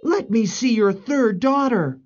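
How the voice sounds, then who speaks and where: A woman's voice: medium pitch, very animated, takes it slowly, dark tone, slightly rough, voice pushed up, exaggerated voice. A woman voicing an earnest, determined young male prince in a fairy tale, with exaggerated declamatory delivery. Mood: firm, insistent. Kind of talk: storybook character acting. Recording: dull lo-fi audio, some noise.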